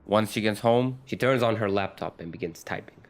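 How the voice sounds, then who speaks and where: A man's voice: fairly low pitch, moderate pace. A man telling a scripted story as its narrator.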